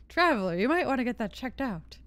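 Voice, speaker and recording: Animated young woman streaming a game. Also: high voice, slight background noise. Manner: opinionated, informal, thinking aloud. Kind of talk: casual live gameplay chat.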